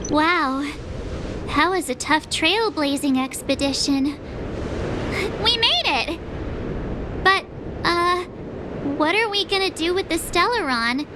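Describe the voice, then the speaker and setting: A perky young girl adventurer from a game. Her voice is extremely high and lively, bubbly and informal, over clearly audible rumbling noise. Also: slow pace, pauses often, heavily acted. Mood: relieved, chirpy. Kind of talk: video game voice acting.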